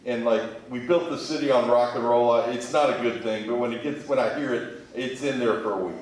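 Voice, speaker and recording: A man's voice: medium pitch, steady tone, fairly quick, darkish tone. Man answering questions in very echoey room. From Kentucky, United States. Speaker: interview guest, museum sales manager. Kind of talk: conversational interview answers. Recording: very echoey room, distant mic.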